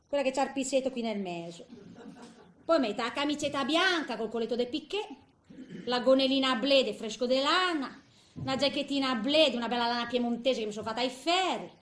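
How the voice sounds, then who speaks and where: A woman's voice: high-pitched, reverberant. An actress playing a tender, chatty eighty-year-old woman with a Ligurian Italian accent, in a homely theatrical monologue. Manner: chatty, homely, hesitant storytelling with little interjections.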